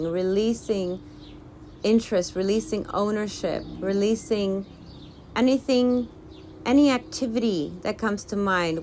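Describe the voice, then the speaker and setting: A woman leading an informal guided meditation talk, speaking gently in halting phrases with pauses, as a teacher. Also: medium pitch, a little hiss or hum. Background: birdsong.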